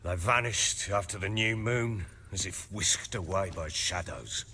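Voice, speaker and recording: Deep, blustering middle-aged lord. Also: male voice, a little slow, pushed and bright, a little hiss or hum, heavily acted. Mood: grave. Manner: blustering, archaic rustic phrasing. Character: gruff, commanding middle-aged lord with missing family. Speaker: lord with men and hounds. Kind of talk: dramatic video game acting.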